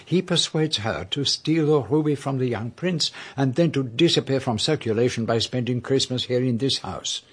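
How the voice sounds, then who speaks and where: An actor playing a shrewd, courteous Belgian detective with a French-speaking accent in a radio drama, formal, precise and unhurried. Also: male voice, medium pitch, slightly rough, close to an everyday voice. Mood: measured, accusing. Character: shrewd, courteous middle-aged foreign male detective.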